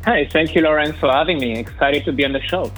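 A man's voice: a little high, fast, a bit bright, faint music behind. A man in his thirties from France with a French accent, a podcast guest talking hesitantly with many fillers. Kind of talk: remote podcast interview. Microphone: call line. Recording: dry tinny call line.

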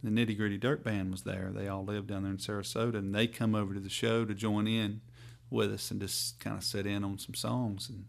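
Gravelly North Carolina man, flat drawl. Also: on the low side. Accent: Southern US, North Carolina. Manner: rambling, folksy, drawn-out phrasing. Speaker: bluegrass musician guest. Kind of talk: casual storytelling interview. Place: hotel room.

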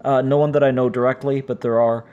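A man with a raspy, strained voice from injured vocal cords, hosting his own podcast in a rambling, casual way full of fillers. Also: mid-range voice, level tone, fast, narrow, tinny audio. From United States. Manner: rambling, frequent "uh" fillers, self-deprecating. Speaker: podcast host. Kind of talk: casual solo podcast chat. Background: cats making noise.

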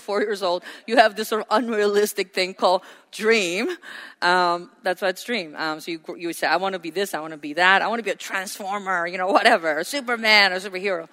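A woman's voice: mid-range voice, sharp tone. A woman pastor preaching a sermon, earnest and asking her congregation questions. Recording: hall reverb.

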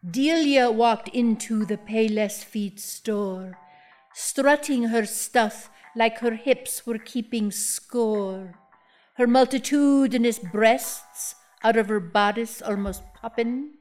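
A woman plays an eccentric small-town poet who runs a feed store and demands an audience. She recites her verse with solemn, drawn-out slowness, every line weighed for effect. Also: fairly high pitch, a little mellow, faint music behind. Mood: reciting. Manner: insistent, solemn, slow dramatic recital.

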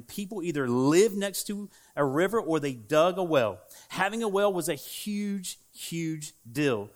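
A man with a Southern American accent preaching a sermon as a pastor, in a casual, conversational way with frequent fillers and asides to the congregation. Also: on the high side. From United States. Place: church sanctuary.

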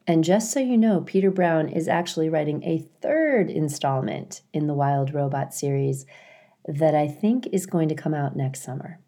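A woman reading aloud as a storyteller, in an expressive style suited to a children's audiobook. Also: low-pitched, normal speed. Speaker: story reader, school staff.

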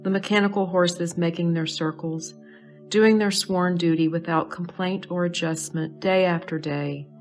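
A woman leading a guided meditation in a soothing, measured way, pausing between short phrases. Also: fairly low pitch, fairly even intonation, soft background music. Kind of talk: slow guided meditation narration.

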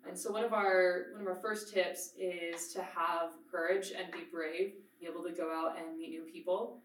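A young woman from Oregon with a lowish voice chats reassuringly as a student guest. She sounds distant and echoey, with faint noise behind her. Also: fairly even intonation, moderate pace. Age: young adult. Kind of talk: casual chat. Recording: roomy and distant.

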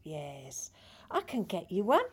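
An actress playing a patient, caring hospice nurse in an audio play, speaking in a gentle, hesitant, reassuring way. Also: female voice, fairly low pitch, wildly swooping pitch, takes it slowly, slight background noise, heavily acted. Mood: accommodating.

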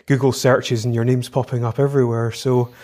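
A man's voice: medium pitch, level tone, brisk, darkish tone. A man hosting an interview podcast, polite and encouraging toward his guest. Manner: polite, encouraging, frequent affirmations. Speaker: podcast host.

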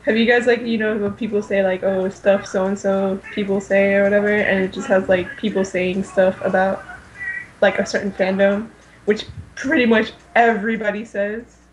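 A woman's voice: mid-range voice, little pitch movement, normal speed, slight background noise, low-quality recording. A young woman chatting on a podcast in a slangy, informal and enthusiastic way, peppering her talk with filler words. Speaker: podcast panelist. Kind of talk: casual slangy fan chat.

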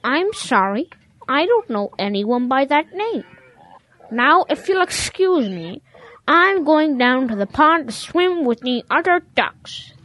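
A woman's voice: sits high, very animated, slow pace, a bit bright, exaggerated voice, poor audio. A woman voicing a stubborn, naive young cow who pretends to be a duck, earnest and childlike, in a children's audio drama. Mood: proud, insistent.

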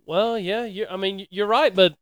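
An American man with a smooth, fairly high voice co-hosts a sports talk show. He banters casually, teasing and arguing back. The recording is dry, with little room sound. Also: fairly even intonation, moderate pace, no room sound. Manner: teasing, argumentative. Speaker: sports podcast co-host.

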